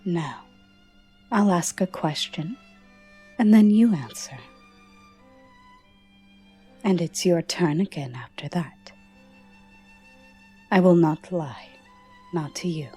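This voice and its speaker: A woman playing a soft-spoken, alluring and guarded gothic lady in an ASMR roleplay, formal and teasing, often dropping into a whisper. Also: lowish voice, slightly rough. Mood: solemn, confiding. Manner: slow, formal, teasing endearments.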